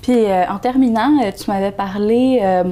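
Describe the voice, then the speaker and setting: Warm-toned Québécois woman host. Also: mid-range voice, moderate pace. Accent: Québécois French. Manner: polite and brief. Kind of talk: interview host.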